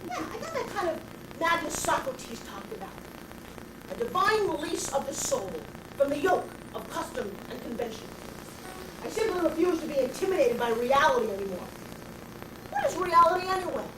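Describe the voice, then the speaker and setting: A woman playing an eccentric, brash homeless bag lady with a raspy voice, who talks straight at the passersby in a comic stage monologue. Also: extremely high pitch, big pitch swings, moderate pace, reverberant, distant mic, background noise. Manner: confrontational, addresses passersby directly. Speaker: actress performing a stage monologue.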